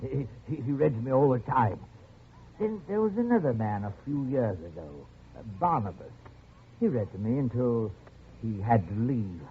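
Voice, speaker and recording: An actor playing a lonely, genial but quietly menacing old jungle settler in a radio drama, speaking softly and haltingly with small chuckles. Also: male voice, medium pitch, very animated, a little hiss or hum. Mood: wistful, eager. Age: older. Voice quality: soft, chuckling. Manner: halting, gentle, repetitive. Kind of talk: 1950s radio drama acting. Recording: muffled, lo-fi old radio recording.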